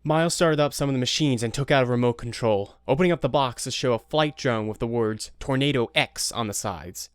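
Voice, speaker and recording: A man's voice: medium pitch, fairly quick, few pauses, smooth, no echo. A man reading scripted narration for an audio drama. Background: guitar music.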